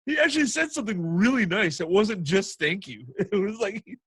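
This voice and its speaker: A man hosting a casual chat, telling stories in a jokey, animated way and prone to laughing. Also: high voice, brisk, slightly rough, dry recording, clean studio sound. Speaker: podcast host and military veteran telling stories.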